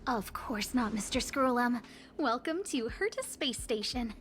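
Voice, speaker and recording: A woman's voice: on the high side, expressive, unhurried, fairly bright tone, a little hiss or hum. An actress playing a polite young space station woman greeting a guest in a video game. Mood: polite, welcoming. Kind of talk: scripted video game dialogue.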